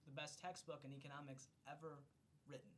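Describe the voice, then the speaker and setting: A man's voice: little pitch movement, a little fast, fairly bright tone. A slightly drunk man reading an economics text aloud in an amateur way, declamatory on the page and loose and profane in casual asides. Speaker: amateur reader of a bedtime recording for a friend. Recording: homemade recording with low rumbling noise.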